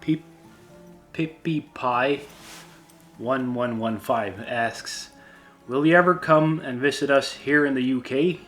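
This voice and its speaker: Swedish guy slowly reading viewer questions, lots of ums and restarts, quiet music under it. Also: medium pitch. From Sweden. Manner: halting, many fillers and restarts, self-deprecating. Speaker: YouTube creator.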